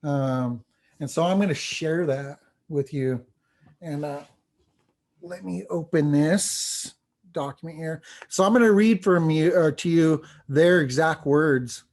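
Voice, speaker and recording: A man speaking as a language teacher on a panel, giving a presentation in an earnest, informal and somewhat halting way. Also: on the high side, darkish tone. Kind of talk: presentation with testimonials read aloud.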